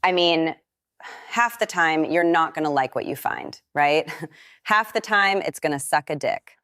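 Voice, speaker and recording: Irreverent female friend, low-pitched, teasing and nosy, dry studio sound, naturalistic drama dialogue. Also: unhurried, fairly bright tone, no room sound. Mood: teasing, frank. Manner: playful, crude, casual.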